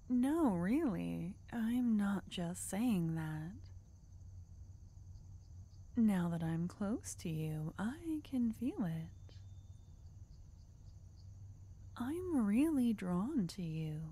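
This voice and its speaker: A woman playing an unhinged, flirtatious yandere arsonist in an ASMR roleplay, teasing and conspiratorial in a breathy half-whisper. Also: mid-range voice, warm tone, exaggerated voice. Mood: flirtatious, drawn.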